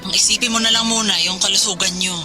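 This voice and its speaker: A fretful, protective wife calling from work, anxious and a little scolding, as played by a woman in a radio drama. Her voice is mid-pitched and sits under a loud music bed. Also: steady tone, fast, close to an everyday voice. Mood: worried. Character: worried working wife on a phone call.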